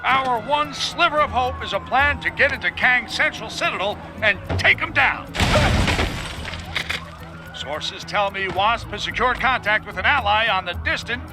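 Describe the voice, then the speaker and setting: High-pitched male game reporter, sarcastic broadcast delivery, music underneath. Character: excitable male news reporter mocking heroes. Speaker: news reporter.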